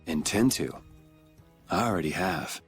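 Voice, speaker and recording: A man's voice: deep voice, animated, measured pace, husky, faint music behind, heavily acted. A man playing a cynical, defiant young vigilante swordsman in a dubbed anime game, with a blunt, sardonic delivery. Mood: cold resolve.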